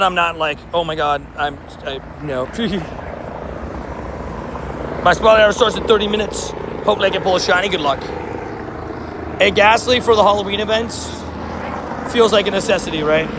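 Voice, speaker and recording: A man live-streaming outdoors, chatting casually with viewers and answering their chat messages, with a slightly hoarse voice. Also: high-pitched, noisy background. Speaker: livestream host. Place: outdoors by a street with traffic.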